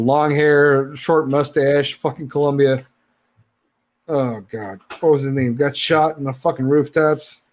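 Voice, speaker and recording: A man in his late thirties ranting profanely in a casual live-stream chat as host. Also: mid-range voice. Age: 30s. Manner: profane, heated rant. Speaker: podcast host.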